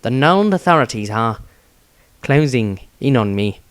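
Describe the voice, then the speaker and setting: A man's voice: mid-range voice, very animated, unhurried, clean tone, dry recording. A man voicing a remorseful scoundrel brother in a fantasy story, earnest and confessional. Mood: urgent pleading.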